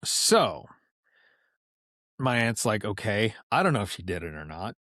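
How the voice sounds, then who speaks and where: Low-voiced man telling stories, dry mic. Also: big pitch swings, a little slow, fairly bright tone, no echo. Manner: loose and chatty, heavy on "like", frequent swearing. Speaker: podcast guest. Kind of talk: casual storytelling chat.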